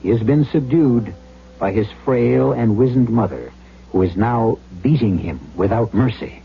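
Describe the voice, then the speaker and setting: A man hosting and narrating a radio drama in a measured, ominous, scripted style. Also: medium pitch, expressive, vocal fry. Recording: dull old radio recording.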